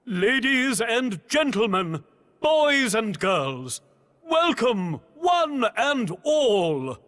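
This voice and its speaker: An actor playing a grandiose circus ringmaster in a video game, making bombastic, grandiloquent big-top announcements. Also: male voice, extremely high pitch, very animated, very slow, fairly bright tone, long reverb tail, heavily acted. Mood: rousing, grandiose.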